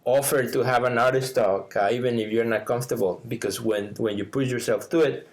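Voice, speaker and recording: A middle-aged man from Mexico with a Mexican Spanish accent, Spanish his first language, co-hosting a podcast in a lively, casual way with lots of laughs and fillers. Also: mid-range voice, brisk. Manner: animated, laughs often, many fillers. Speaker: podcast co-host.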